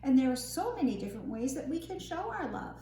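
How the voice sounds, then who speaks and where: A woman reading a picture book aloud for young children in an expressive, singsong storytime manner, often posing questions to her listeners. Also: high-pitched, fast. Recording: roomy echo, faint background noise.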